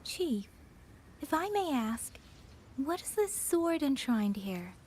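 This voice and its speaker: An actress playing an earnest, polite young heroine with a gentle, thoughtful manner. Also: female voice, sits high, big pitch swings, slow pace, a little hiss or hum, full character voice. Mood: curious, thoughtful. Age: young adult. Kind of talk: scripted game dialogue. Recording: music behind the voice.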